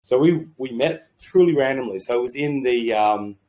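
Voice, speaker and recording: A slightly croaky Australian man talking in a rambling, informal, mildly sweary way as a podcast guest. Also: medium pitch, moderate pace, warm tone. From Sydney, Australia. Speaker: podcast guest, medical doctor and entrepreneur. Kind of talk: casual conversational interview.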